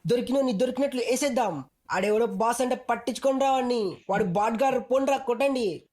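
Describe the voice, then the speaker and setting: High, rapid-fire Telugu woman giving callouts. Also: a little mellow. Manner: slangy, bossy, teasing. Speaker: game voice pack speaker. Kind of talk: short punchy game callouts.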